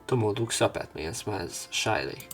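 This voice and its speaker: A man's voice: on the low side, expressive, normal speed, fairly bright tone. A man doing a let's play, reading a visual novel aloud in an everyday voice and adding casual commentary. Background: game music.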